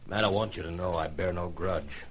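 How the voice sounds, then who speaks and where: Fatalistic frontier gunfighter, played by a male actor, low and quiet, measured radio western delivery, slightly noisy recording. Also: close to an everyday voice. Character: weary, fatalistic gunfighter of the Old West. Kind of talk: old-time radio western drama acting.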